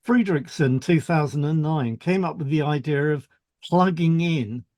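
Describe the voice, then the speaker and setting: A man's voice: fairly high pitch, unhurried, a little mellow, no echo. A man co-hosting a podcast, reading explanatory script and introducing topics with short conversational links. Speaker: podcast co-host, hypnotherapist.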